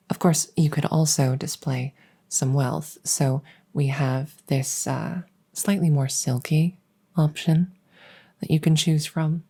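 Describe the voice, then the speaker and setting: Woman acting a hushed, low-voiced vampire maid. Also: full character voice. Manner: soft, breathy, deferential, unhurried formal phrasing. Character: devoted vampire maid, woman, soothing, gently teasing. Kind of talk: ASMR roleplay, intimate personal-attention audio.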